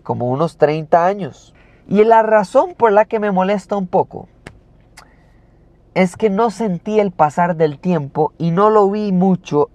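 A man's voice: sits high, a little mellow. Emphatic Costa Rican man reviewing films. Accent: Costa Rican Spanish. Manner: emphatic, rhetorical questions to the viewer. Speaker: film reviewer. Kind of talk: opinionated film review.

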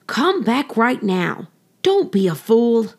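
A woman's voice: fairly high pitch, big pitch swings, slow, creaky, darkish tone, exaggerated voice. A woman voicing a stubborn, strong boy in a storybook, blunt, scornful and dismissive. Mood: bossy, stubborn.

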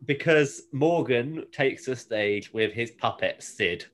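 Male podcast co-host, mid-pitched voice, informal and halting, walking through a plot conversationally. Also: lively intonation, a bit bright. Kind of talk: casual episode recap, conversational.

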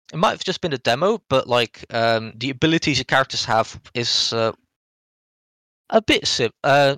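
A man's voice: mid-range voice, pushed and bright, dry recording. Hesitant man rambling through a game review. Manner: hesitant, halting delivery, often hedging and rephrasing. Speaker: podcast co-host reviewing game demos.

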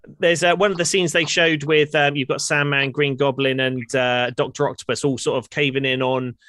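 A man hosting a chatty podcast, relaxed and full of uhs while he runs the call-ins. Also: medium pitch, brisk, no echo. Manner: relaxed, says uh and um a lot while running the stream. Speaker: podcast host taking calls. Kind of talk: casual chat.

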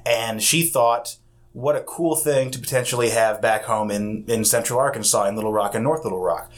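A man's voice: medium pitch, moderate pace. A man who runs an arts festival, answering interview questions in an affable, rambling way, with plenty of fillers. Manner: affable, explains at length with many fillers. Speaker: arts festival executive director.

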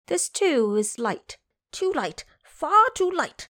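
A woman's voice: high voice, very animated, takes it slowly, a bit bright, no room sound, voice pushed up, heavily acted. A woman voicing a cocky, impatient male Monkey King who boasts and demands, in an audio drama. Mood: cocky, dismissive.

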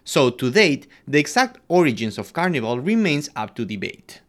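A man from Ecuador co-hosting a podcast, reading a scripted history segment in an expressive way. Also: normal speed. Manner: animated, expressive delivery with wide pitch swings. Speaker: podcast co-host.

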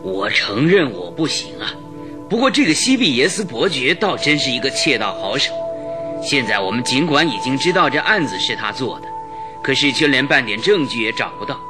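A man voicing a government spymaster in an old radio play, an earnest, apologetic elder brother who talks at a middle pitch. Music plays clearly underneath him. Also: sing-song, normal speed, close to an everyday voice. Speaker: radio drama actor. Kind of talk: 1960s radio drama acting. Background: music bed.

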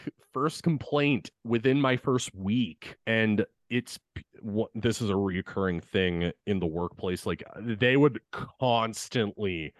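A young man with a deep voice, casually telling a work story on a podcast and swearing as he vents. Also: takes it slowly, dry recording. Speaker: podcast co-host.

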